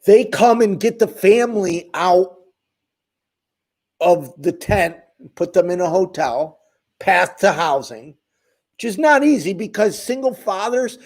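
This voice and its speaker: A man's voice: sits high, animated, measured pace, darkish tone. A man who runs an advocacy channel, speaking straight to his viewers in an impassioned, ranting way. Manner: emphatic, ranting, addresses viewers directly. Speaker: homeless advocate, channel host. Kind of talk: impassioned direct-to-camera talk.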